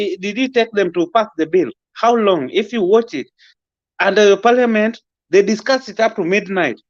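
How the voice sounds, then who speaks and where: High-pitched Kenyan man, dull lo-fi sound. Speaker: participant in a political discussion. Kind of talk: impromptu political discussion.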